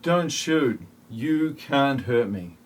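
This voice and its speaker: A man playing a solemn, grandiose messianic figure who judges humanity, speaking in a husky, stilted, portentous lecturing manner. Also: mid-range voice, expressive, takes it slowly. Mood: defiant.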